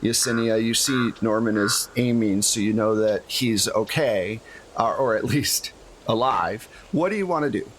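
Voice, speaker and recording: A man's voice: on the low side, measured pace, slight background noise, low-quality recording. A man running a tabletop role-playing game as game master, explaining rules and scenes in a casual, conversational way. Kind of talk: casual tabletop actual-play narration.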